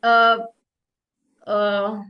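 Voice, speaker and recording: A woman hosting, reading a formal introduction in a halting way with restarts, over a video call. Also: medium pitch, little pitch movement, smooth, dull audio. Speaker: host, moderator.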